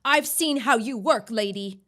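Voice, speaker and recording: Audio drama young wife female actor: defiant, indignant, slightly high register, stands her ground. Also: measured pace, fairly bright tone. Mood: indignant anger.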